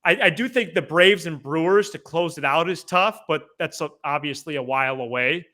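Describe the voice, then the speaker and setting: A man's voice: fairly high pitch, normal speed, sharp tone. A man hosting a sports podcast, giving casual commentary in a conversational way.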